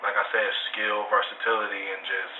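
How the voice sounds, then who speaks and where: Low-voiced American man on a tinny phone line. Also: level tone, fairly quick. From Lansing, Michigan, United States. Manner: slangy, emphatic, peppered with "you know". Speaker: rapper being interviewed. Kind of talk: casual phone interview. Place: phone call. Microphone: telephone. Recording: tinny phone line, roomy, distant, faint noise.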